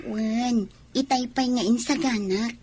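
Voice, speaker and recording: A woman's voice: on the high side, slow pace, a little mellow, breathy edge. A woman playing a bossy, coaxing mistress of a married man in an Ilocano audio drama, with an Ilocano Filipino accent.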